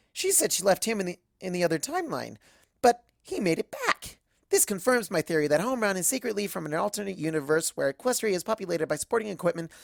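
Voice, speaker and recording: A woman voicing an excitable, silly young female cartoon pony with chirpy, gushing delivery. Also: medium pitch, gravelly, dry recording, studio quality. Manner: chirpy, gushing, childlike phrasing. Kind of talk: first-person cartoon fan fiction narration.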